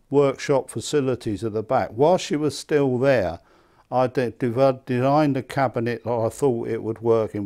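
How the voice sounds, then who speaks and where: A man in his seventies with an English accent, reminiscing conversationally in an interview, halting and repeating words. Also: medium pitch, mellow. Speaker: loudspeaker maker being interviewed. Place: small indoor hi-fi demonstration room. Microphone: lapel mic.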